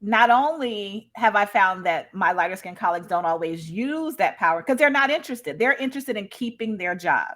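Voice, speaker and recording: A woman's voice: mid-range voice, lively intonation, normal speed, few pauses, a bit bright. A woman speaking as a guest in a live discussion, conversational and emphatic, often checking in as she shares her experience. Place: live online video call.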